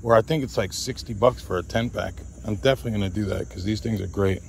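A man with a fairly low voice talks casually and loosely, like a hobbyist vlogger out in a field. There is a faint layer of outdoor noise with insects behind him. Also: fast talker, vocal fry. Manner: rambling, talks to his dog. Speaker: vlogger testing handheld radios. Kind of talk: casual chat with radio test calls. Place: outdoors in a grassy field by woods.

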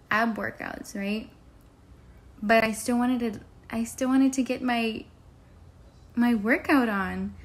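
A woman in her twenties telling a personal story to camera in a casual, candid way with emphatic, slangy delivery. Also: fairly high pitch. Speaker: vlogger. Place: indoors at home on a couch.

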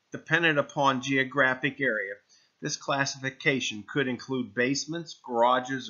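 A man narrates a scripted informational presentation. His voice sits at a middle pitch with a bright, firm edge, and he sets out items in measured phrases. The recording is somewhat dull and lo-fi. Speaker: narrator of a real estate explainer. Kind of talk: scripted narration read from slides.

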